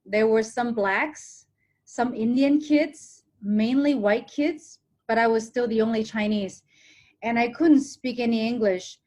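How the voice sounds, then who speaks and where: A woman with an American accent and a fairly high voice, speaking as a guest on a podcast call. She is reflective, repeats phrases for emphasis and laughs easily. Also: darkish tone. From Hong Kong, raised in Ohio, USA. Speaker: guest, TV presenter. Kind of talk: casual interview chat. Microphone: remote call.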